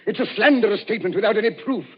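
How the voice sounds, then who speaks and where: An actor playing a blustering, guilty husband in a 1940s radio drama, making indignant denials. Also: male voice, high voice, racing, breathy edge. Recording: muffled 1940s radio broadcast.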